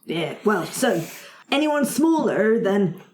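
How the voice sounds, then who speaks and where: A woman's voice: medium pitch, big pitch swings, slow, darkish tone, roomy sound, a little hiss or hum. A woman voicing a male goblin alchemist who hobbles around his shop, chatty and fussy, with a hesitant, rambling sales patter. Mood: eager salesman.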